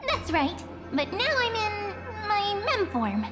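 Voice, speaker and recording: Cute teasing game sprite, high voice, slow n theatrical, big reverb. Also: female voice, big pitch swings, full character voice. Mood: playful, confident. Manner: coy, wry, theatrical. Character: mysterious cute female memory sprite, playful and teasing. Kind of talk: game voice acting, dramatic dialogue. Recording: long reverb, loud music behind.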